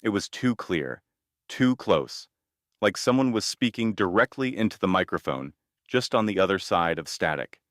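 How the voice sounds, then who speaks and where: A man with a low voice reads a scary story. His delivery is measured and ominous, broken into short phrases. The sound is dry and close, with hardly any room in it. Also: lively intonation, fairly bright tone, no echo. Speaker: horror story narrator. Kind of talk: scripted scary-story narration.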